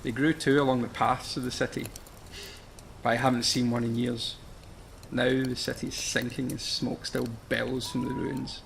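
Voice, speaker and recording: A man playing a solemn, mournful figure in a radio play, speaking gravely and poetically with a gravelly, creaky voice. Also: medium pitch, little pitch movement, normal speed, a bit bright, a little hiss or hum. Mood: wistful, mournful. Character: solemn, mournful man recalling a dying ancient land.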